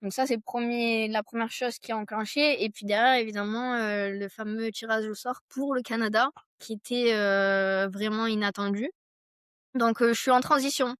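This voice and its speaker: Young woman, higher-pitched, close dry sound. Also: fairly even intonation, moderate pace, fairly bright tone, smooth, dry recording. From France. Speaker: podcast guest, entrepreneur. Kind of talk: casual conversational interview answers.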